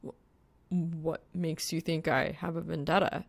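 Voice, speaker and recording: A woman's voice: deep voice, level tone, unhurried, vocal fry, dry recording, heavily acted. A woman voicing a young male gamer in an audiobook, a blunt, grumpy and casual slacker. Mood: flustered. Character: Soul, young male gamer, scowling, food-obsessed slacker. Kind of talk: acted audiobook dialogue.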